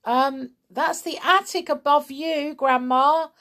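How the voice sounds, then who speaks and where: A woman's voice: high voice, sing-song, takes it slowly, pitched above the performer's own voice, full character voice. A woman voicing an anxious, polite young boy in a children's story, hesitant and protesting. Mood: cautioning. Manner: hesitant ums, exclaiming warnings.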